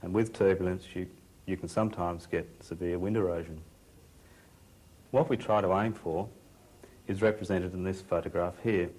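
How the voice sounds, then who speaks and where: Low-voiced male explainer. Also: fairly even intonation, normal speed, long pauses, darkish tone, rough recording. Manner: plain-spoken, explanatory. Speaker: expert presenter. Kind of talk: instructional demonstration to camera.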